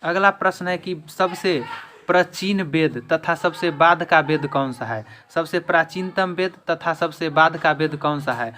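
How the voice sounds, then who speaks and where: A man teaches exam questions and answers in a bright, forceful voice. His pitch sits a little high, and he repeats key points emphatically. There is some noise in the recording behind him. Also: a little slow. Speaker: exam-prep teacher and video channel host. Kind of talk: quiz lesson, explaining question and answer. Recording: clear background noise.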